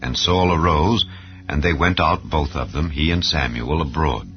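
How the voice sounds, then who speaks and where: A man's voice: extremely low pitch, normal speed. A man narrating a dramatized scripture audiobook in a solemn, formal storytelling manner. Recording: slightly dull sound with background music. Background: soft instrumental background music.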